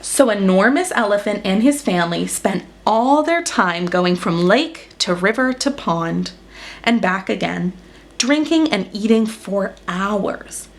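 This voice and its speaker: A woman's voice: medium pitch, animated, measured pace. A woman reading a children's picture book aloud as a storyteller, with dramatic emphasis on action words. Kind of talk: expressive children's story narration.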